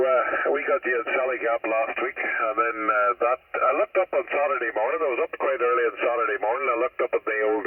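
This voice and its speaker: A man's voice: medium pitch, slightly rough. A man with a Northern Irish accent chatting casually over two-way radio in a folksy, rambling way. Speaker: amateur radio operator. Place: mobile in a vehicle, over CB radio. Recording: muffled far-off two-way radio transmission.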